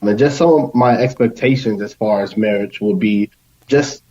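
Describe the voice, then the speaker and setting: Lower-voiced man, slightly muffled. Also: normal speed, a little mellow. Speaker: podcast guest. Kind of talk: conversational interview answers. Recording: slightly dull lo-fi sound.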